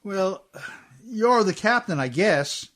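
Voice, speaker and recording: Folksy boatman, male actor, radio play: easygoing, sheepish, slow delivery, dry sound. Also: sits high, expressive, a bit bright, no room sound, exaggerated voice. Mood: sheepish, pleading. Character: humble, easygoing riverboat man, sheepish and fond of his sweetheart.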